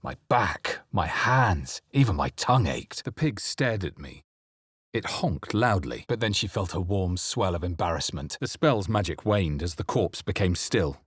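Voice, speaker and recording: A male fantasy adventurer for a video game. His voice is deep and husky, recorded dry and close. He sounds brooding and wry, with measured, dramatic delivery. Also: expressive, no echo, exaggerated voice. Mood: grave narration. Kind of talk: video game voice lines and narration.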